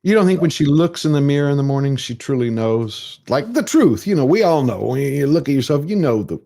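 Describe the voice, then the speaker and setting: A man's voice: mid-range voice, sing-song, fairly quick, gravelly, warm tone. A man chatting casually as a panelist on a stream, teasing and asking questions. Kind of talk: casual chat.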